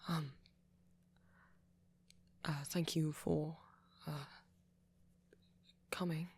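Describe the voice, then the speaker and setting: A man playing a shy, nervous young vampire in an ASMR roleplay, stammering softly and apologetically with a British accent. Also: on the high side, little pitch movement, exaggerated voice. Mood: shy, flustered. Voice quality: soft, breathy, often near whisper. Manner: stammering, halting, flustered and apologetic. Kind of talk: ASMR boyfriend roleplay, intimate acting.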